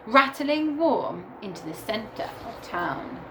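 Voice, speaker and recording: A woman teacher reading a picture book aloud to young children in a deliberate, animated storytelling way. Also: medium pitch, noisy background. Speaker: primary school teacher reading to her class.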